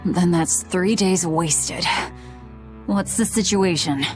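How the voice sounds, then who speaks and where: Casting: driven fantasy-game fighter, young woman, lowish voice, brusque, no-nonsense. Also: slow, soft background music. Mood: curt. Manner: curt, stern. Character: blunt, driven, hard-edged young female fighter. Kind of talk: English game voice acting.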